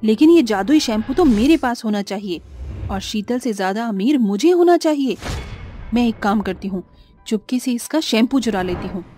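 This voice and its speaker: A woman voicing a greedy, scheming young college friend in an animated moral story. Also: a little high, normal speed, slight background noise, natural voice. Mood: envious, scheming.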